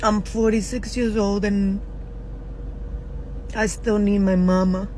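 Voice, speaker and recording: A woman in her forties speaking in a frank, halting personal video, with many fillers and pauses. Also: medium pitch, flat delivery, darkish tone, slight background noise, rough recording. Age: 40s. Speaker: social media poster.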